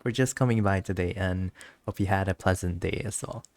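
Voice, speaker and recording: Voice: low voice, a little fast, darkish tone. A streamer chatting casually to viewers over gameplay in a giggly, rambling way, reading game text aloud at times.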